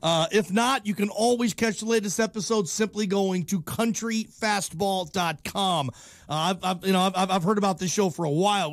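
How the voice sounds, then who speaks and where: A male sports radio host with a fairly high voice in a dry studio. He chats casually and informally, like he is talking to a buddy. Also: no room sound. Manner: chummy, informal, calls guest "man" and "brother". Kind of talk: casual live radio chat.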